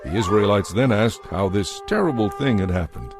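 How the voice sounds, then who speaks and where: A male narrator reads a dramatized Bible story. His voice is fairly low and moves expressively through measured phrases, with quiet music underneath. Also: lively intonation.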